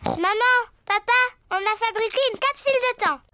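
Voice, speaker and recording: A woman's voice: very high voice, animated, normal speed. A woman voicing a cheerful, eager little piglet child in a children's cartoon dub, with simple childlike phrasing. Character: cheerful little girl piglet and her young animal friends. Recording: music behind the voice.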